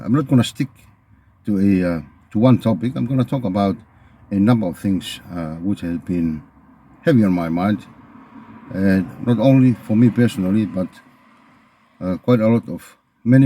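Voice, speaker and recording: Man, Tongan accent, lowish pitch, soft-edged mellow timbre, earnest reflective monologue, halting phrasing, repeated words. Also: slightly rough, dry recording. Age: middle-aged. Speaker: video channel host. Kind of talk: reflective unscripted monologue to camera.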